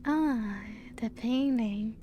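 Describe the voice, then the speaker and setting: Woman as teasing female vampire castle guide, ASMR roleplay. Very slow, hushed delivery, higher-pitched. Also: a little hiss or hum. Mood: wistful, playful. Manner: slow, hushed, often breaking into whispers and soft chuckles. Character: playful, teasing female vampire showing guests her ancient castle. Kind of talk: soft-spoken ASMR roleplay. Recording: music playing behind the voice. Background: background music.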